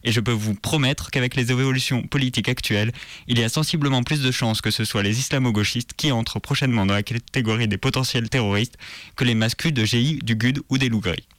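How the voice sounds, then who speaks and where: A man's voice: medium pitch, fast, fairly bright tone. A man reads scripted news commentary on community radio in a sarcastic, militant manner. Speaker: radio show presenter.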